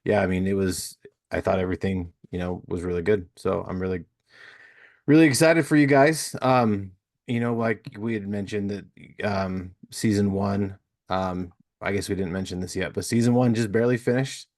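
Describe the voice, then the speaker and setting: A man's voice: low voice, normal speed, darkish tone. A man hosting a casual podcast interview, rambling and searching for words with lots of fillers. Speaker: podcast host.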